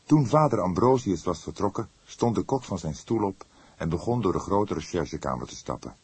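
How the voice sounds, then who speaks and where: A man reading a detective novel as an audiobook narrator, in measured, dramatic storytelling. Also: sits low, expressive, darkish tone, slightly rough, no room sound.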